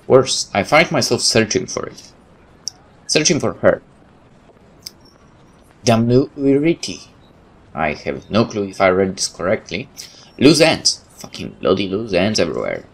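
A man hosting a let's play, reading a visual novel aloud with expressive storytelling and casual asides. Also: on the low side, creaky, poor audio. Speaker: let's play host and narrator. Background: game music.

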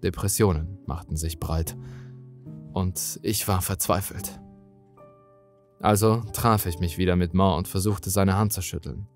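A man narrating a scripted horror story in a dramatic, brooding, deliberate manner. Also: low-pitched, fairly even intonation, soft background music.

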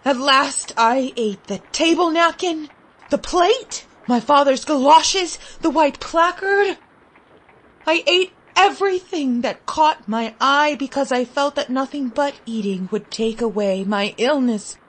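Slow, high-voiced woman reading a story. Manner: expressive, vivid, deliberate. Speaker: story reader. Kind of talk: dramatic first-person literary narration.

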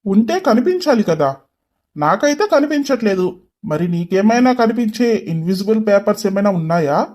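A woman's voice: medium pitch, sing-song, normal speed, darkish tone, voice pushed down. A woman voicing an arrogant young male boss in an audio story, mocking and curt. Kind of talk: acted dialogue in an audio story.

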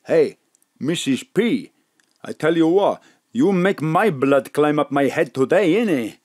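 An actor playing a cheerful, flirty foreign café cook in an audio drama, eager and excitable in broken English. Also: male voice, on the high side, unhurried.

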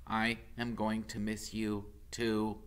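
A man voicing a gentle, protective mother robot in a storybook, speaking in a measured, formal way. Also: lowish voice, completely flat delivery, clean tone, voice pushed up. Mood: tender. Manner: measured, formal, uncontracted speech.

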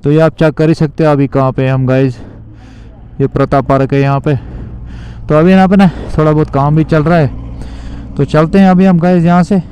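A man's voice: on the high side, steady tone, fairly quick, long pauses, warm tone, smooth. A young man vlogging casually while riding a motorbike through city traffic, talking loosely and repetitively to his viewers. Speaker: moto vlogger.

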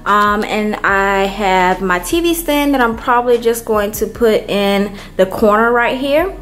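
A woman vlogger giving a casual, unhurried walkthrough of an empty apartment, filmed on a handheld camera. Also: mid-range voice, fairly bright tone. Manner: unhurried, chatty, many ums. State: hot and worn out from moving.

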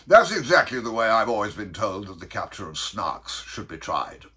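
A man voicing a bossy, impatient, excitable ship's bellman in a storybook verse reading, with loud, indignant delivery. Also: mid-range voice, slow pace, breathy edge, voice pushed up, full character voice.

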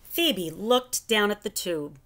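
A middle-aged woman reading a children's picture book aloud in an expressive, deliberate storytelling manner, at home. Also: mid-range voice. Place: living room at home.

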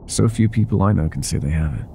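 A man playing an older ex-mafia boyfriend, wry, tender and self-doubting, with a husky, breathy voice in an intimate roleplay audio. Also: deep voice, fast, dark tone, slight background noise. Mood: tender, teasing.

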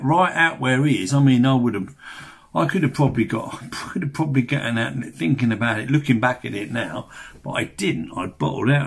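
A man's voice: mid-range voice, creaky, slightly rough. An English man in his sixties narrating a fishing vlog in a chatty, rambling, colloquial way. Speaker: fishing vlogger.

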